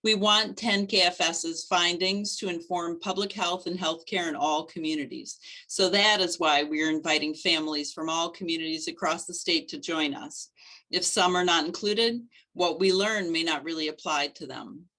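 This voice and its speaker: A middle-aged woman, a study coordinator, giving a clear, steady scripted presentation on a webcam from her living room. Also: fairly low pitch, normal speed, low-quality recording.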